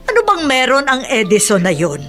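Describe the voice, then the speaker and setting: A high-voiced older Filipino woman, frank and probing, in a radio drama. Her delivery is animated and exclamatory, with music underneath. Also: a little fast, full character voice. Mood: astonished. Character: concerned, probing older woman confidante of the household.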